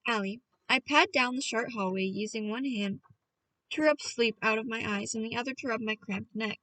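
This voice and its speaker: A woman's voice: a little high, moderate pace, pushed and bright, dry recording, recorded from a distance, low-quality recording. A woman reading a novel aloud as a casual audiobook, chatty and playful, often stopping to talk to her listeners. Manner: chatty, self-interrupting, playful with voices. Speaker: audiobook reader.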